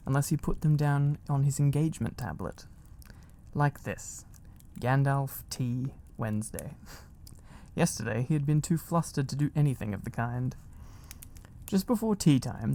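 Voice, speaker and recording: A man reading a storybook aloud in a gentle, cosy, unhurried ASMR style. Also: mid-range voice, creaky. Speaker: ASMR narrator.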